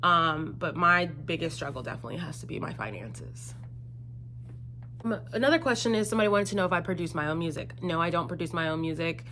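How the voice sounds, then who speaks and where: Low-pitched young woman, casual Q&A chat. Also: animated, fast, sharp tone, a little hiss or hum. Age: 20s. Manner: conversational, explanatory. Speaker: singer-songwriter answering viewer questions. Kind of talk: casual solo Q&A chat to camera.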